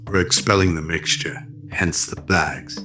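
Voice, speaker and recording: A man's voice: low voice, unhurried. A man giving scripted dramatic narration in the style of an old radio drama. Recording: loud music under the voice. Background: background music.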